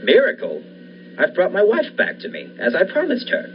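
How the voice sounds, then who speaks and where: A man's voice: high voice, huge pitch swings, moderate pace, exaggerated voice. A man playing an obsessed, commanding scientist in a 1940s-style radio thriller, urgent and sure of himself. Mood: confident, defiant. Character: obsessed male scientist reviving his dead wife. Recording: muffled, noisy amateur radio play recording.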